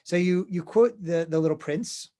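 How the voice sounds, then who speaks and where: Higher-voiced rabbi asking thoughtful questions. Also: male voice, moderate pace, warm tone. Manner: thoughtful, hesitant, restarts often. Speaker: rabbi, interviewer. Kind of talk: conversational interview.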